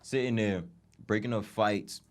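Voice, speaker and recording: A man's voice: lowish voice, steady tone, a little slow. Profane young American man telling stories. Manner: profane, complaining. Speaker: podcast guest, music artist. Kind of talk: casual podcast banter, slangy storytelling.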